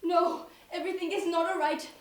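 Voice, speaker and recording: A woman's voice: extremely high pitch, steady tone, a little fast. A young woman on stage playing a melodramatic, anguished fashion professional, with an overwrought, declamatory delivery. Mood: distraught. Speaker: stage actor. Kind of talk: theatrical one-act stage play acting. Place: indoor stage, recorded from a distance. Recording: echoey stage recording, distant mic, noisy.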